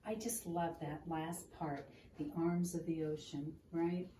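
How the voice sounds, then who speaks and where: A woman reading a picture book aloud to a child and explaining it in a gentle, teacherly way. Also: deep voice, level tone, measured pace. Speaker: children's storytime reader. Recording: roomy, distant mic with room noise.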